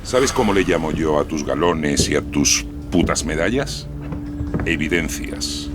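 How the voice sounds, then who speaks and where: An actor playing a sardonic, relentless police sub-inspector questioning a suspect in a dramatized true-crime podcast. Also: male voice, very deep voice, lively intonation, unhurried, music in the background. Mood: accusing. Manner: sarcastic, pressing.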